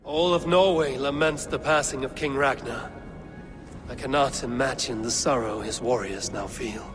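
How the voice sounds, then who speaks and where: A sorrowful Norse warrior from a video game, voiced by a man, mourns his fallen king in a formal, sombre tone. His voice carries a long reverb, and music plays clearly beneath it. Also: medium pitch, normal speed, lightly acted. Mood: mournful.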